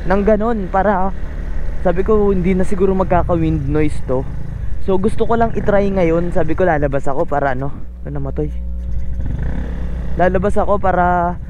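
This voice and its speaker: A high-voiced man chats away in a casual, rambling vlog style. He speaks into a little mic tucked in his helmet, with clear motorcycle and street noise around him. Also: moderate pace, pauses often, highs cut off. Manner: talkative, rambling. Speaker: motovlogger. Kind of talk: casual vlog chat to viewers. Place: outdoors on a motorcycle at night. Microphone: small intercom mic wrapped in foam inside helmet cheek pad. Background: motorcycle engine and traffic ambience.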